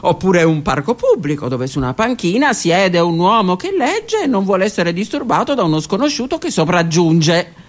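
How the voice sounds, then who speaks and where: A woman's voice: fairly low pitch, very animated, moderate pace. A woman narrating her own literary text for a radio theatre piece, intimate and often dropping to a whisper. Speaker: author and narrator.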